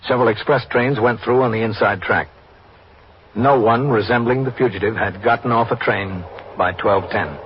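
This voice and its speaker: An actor playing a sober police captain in an old-time radio drama, measured, formal and matter-of-fact. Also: male voice, lowish voice, lightly acted. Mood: sober, official. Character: sober male police captain recounting his case. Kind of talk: scripted radio drama narration. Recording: dull, hissy old radio recording.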